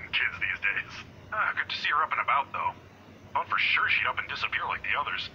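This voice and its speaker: A man performs an old security guard in a visual novel game, kindly, chatty and forever fumbling. His voice is strikingly bright and swings up and down as he hesitates and rambles. The sound is slightly boxed-in and lo-fi. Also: mid-range voice, huge pitch swings, normal speed, slight background noise, heavily acted. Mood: friendly teasing. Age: older. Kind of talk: voice-acted visual novel dialogue.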